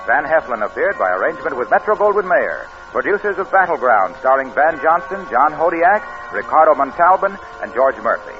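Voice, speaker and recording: Animated American old-time radio announcer, male. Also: on the high side, fairly quick, hardly any pauses, over music. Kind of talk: scripted 1940s radio commercial and announcing. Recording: dull old radio recording.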